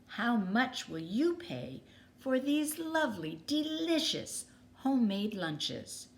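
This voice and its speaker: Calm, measured woman schoolteacher, read by storyteller. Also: a little high, voice pushed up, full character voice. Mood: firm, coy. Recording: clearly noisy background.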